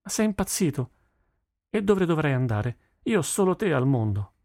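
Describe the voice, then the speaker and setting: A man's voice: a little high, sing-song, normal speed, a little mellow, no echo, clean studio sound, pitched above the performer's own voice. A man voicing a stubborn, fiery thirteen-year-old girl in an audiobook, with an insistent, youthful manner. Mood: affectionate. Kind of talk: audiobook character voice.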